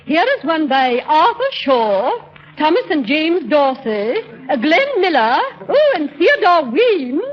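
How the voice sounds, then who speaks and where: An actress playing an affected, pompous and effusive society matron in a 1930s radio comedy. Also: female voice, high-pitched, very animated, takes it slowly, heavily acted. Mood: gushing, amused. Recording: muffled 1930s radio recording.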